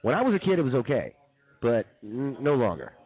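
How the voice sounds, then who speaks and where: Male radio co-host, rapid rambling slangy banter, fairly high voice, muffled lo-fi recording. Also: very animated, slightly rough. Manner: rambling, slangy, often says "dude". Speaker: comedy radio show co-host. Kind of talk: casual comic banter. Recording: dull muffled lo-fi audio.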